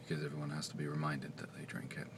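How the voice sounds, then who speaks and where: Very deep, gravelly soft-spoken man. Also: monotone, quick pace, heavy background noise. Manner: quiet, soft-spoken, hesitant with many fillers. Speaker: independent comic writer. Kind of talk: casual vlog monologue.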